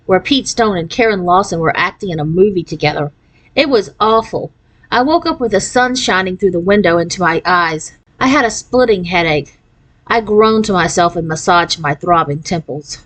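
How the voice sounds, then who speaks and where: A woman's voice: mid-range voice, low-quality recording. Woman reading a children's novel aloud. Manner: acts out dialogue in character voices. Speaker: audiobook-style reader. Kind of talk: children's novel read aloud, scripted narration.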